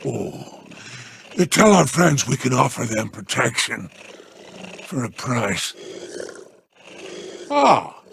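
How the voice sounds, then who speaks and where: An actor playing a smug, scheming male alien crime boss in a video game, with a deep gravelly growl and a slow, mockingly polite manner. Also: very animated, fairly bright tone, slight background noise, exaggerated voice. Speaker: merchant boss.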